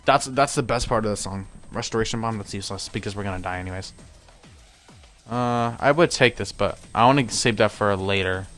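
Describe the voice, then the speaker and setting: A man's voice: fairly low pitch, brisk, fairly bright tone, soft background music. A young man giving rambling, casual commentary while streaming a game at home, his voice worn out from a long session. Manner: chatty, rambling, self-correcting. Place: home room.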